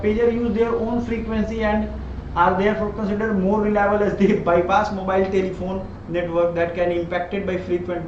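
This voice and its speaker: A man giving a conversational current-affairs lecture as a teacher, mixing in English and checking in with listeners. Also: high voice. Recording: echoey room, muffled, loud background noise.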